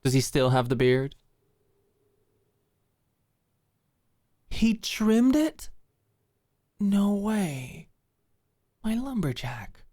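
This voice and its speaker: A woman playing a confident, doting, teasing girlfriend in an intimate ASMR roleplay, soft and playful. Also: mid-range voice, sing-song, takes it slowly, no room sound, studio quality. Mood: gossipy, teasing.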